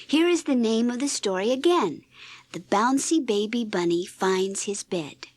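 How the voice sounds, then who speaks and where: A woman reading a scripted children's read-along story in an expressive, deliberate storytelling manner. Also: fairly high pitch, no echo. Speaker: storybook narrator.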